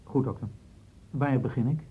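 An actor playing a mild junior doctor in a radio drama. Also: male voice, mid-range voice, normal speed, mellow, breathy edge, poor audio. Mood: calm.

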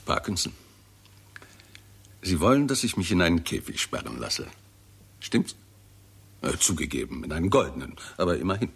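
Sardonic scientist, fairly low raspy voice, blunt, dry, self-assured, 1960s radio drama. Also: male voice, big pitch swings, normal speed, pauses often, exaggerated voice. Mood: firm, demanding. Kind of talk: 1960s German radio play dialogue.

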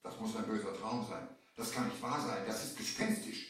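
A man's voice: fairly low pitch, normal speed, fairly bright tone, natural voice. A male actor playing a shaken merchant who dramatically narrates an eerie sea tale in a 1970s children's adventure radio play. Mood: awed, fearful. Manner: dramatic, storytelling. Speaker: narrator and lead in an audio drama. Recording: echoey, distant 1970s vinyl record.

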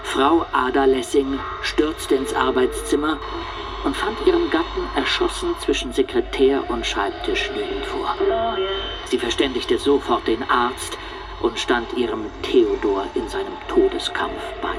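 Male radio drama storyteller acted: medium pitch, solemn theatrical narration, set back from the mic amid clear noise. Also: unhurried, lightly acted. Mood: solemn, suspenseful. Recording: distant, noisy radio-like sound.

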